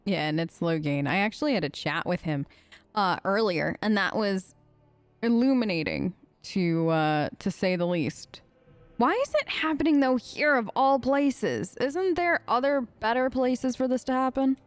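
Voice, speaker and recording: A woman's voice: medium pitch, animated, a little slow, dry recording, faint music behind. A woman hosting a gaming video, casually role-playing her character's side of the dialogue in a chatty, conversational way.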